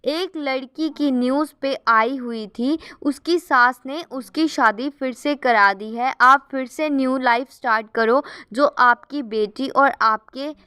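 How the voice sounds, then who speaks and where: A woman's voice: sits high, bright, forceful tone, clean tone, no room sound, studio quality. A woman hosting a gossip channel, chatting casually as she reads out viewer comments and keeps addressing her viewers as guys.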